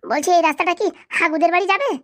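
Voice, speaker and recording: A woman voicing a sly, flattering villager in a comedy cartoon, chatty and insistent. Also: very high voice, racing, no echo, studio quality. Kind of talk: amateur comedy cartoon dialogue.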